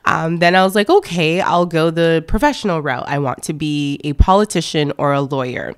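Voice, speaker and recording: A woman in her thirties speaks with a low, smooth voice. She tells her own story unhurriedly as a guest, with plenty of "um"s and easy warmth. Her tone stays clear throughout. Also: animated, moderate pace. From born in France, raised in Canada. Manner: warm storytelling with fillers. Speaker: guest, event planner. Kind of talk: casual interview talk.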